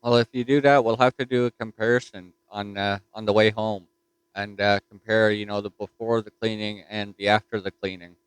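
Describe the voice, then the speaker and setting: A man's voice: lowish voice, little pitch movement, fairly quick, clear, resonant tone, low-quality recording. A man with a Newfoundland accent chatting casually on a ham radio repeater from his home desk mic, folksy and full of fillers. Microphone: desktop mic.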